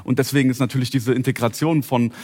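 Male debate guest, mid-range voice, rapid and pushy, doubling back on his phrases. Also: mid-range voice, steady tone, darkish tone. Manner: insistent, repeats himself, interjecting. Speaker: talk show guest. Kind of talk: heated panel debate.

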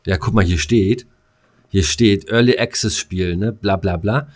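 Man, deep voice, German accent, casual podcast chat, rambling, slangy. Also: unhurried, a little mellow. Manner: rambling, slangy, jumps between thoughts. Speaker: podcast co-host.

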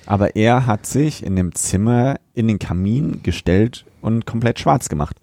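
A man's voice: on the low side, lively intonation, a little slow, dark tone, no echo, clean studio sound. A man co-hosting a podcast, chatting casually in a review, wry and matter-of-fact. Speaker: podcast co-host.

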